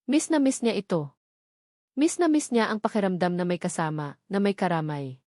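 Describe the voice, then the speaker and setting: A woman's voice: medium pitch, normal speed, warm tone, dry recording, clean studio sound. A woman reading a scripted story as its narrator.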